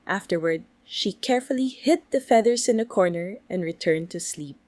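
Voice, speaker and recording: A woman's voice: medium pitch, expressive, moderate pace, darkish tone, no echo. A woman reading a scripted bedtime story for children as its narrator.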